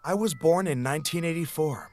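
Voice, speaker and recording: A man telling a childhood ghost story as scripted narration, in an even, flat storytelling manner. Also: a little high, brisk, faint music behind. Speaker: narrator of a played story video.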